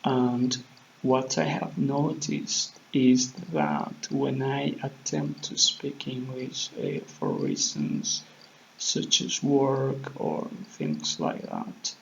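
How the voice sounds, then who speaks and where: A man with a Spanish accent speaks very slowly, hesitating and filling pauses while he searches for words. His voice has a gravelly edge. The recording sounds dull and lo-fi, with faint noise behind him. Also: medium pitch, big pitch swings. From Mexico. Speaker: channel host. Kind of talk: unscripted vlog talk.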